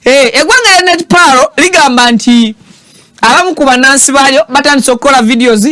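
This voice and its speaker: A Ugandan man hosting a show, giving animated and teasing political commentary that switches languages mid-sentence. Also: very high-pitched, fairly quick, no room sound, studio quality.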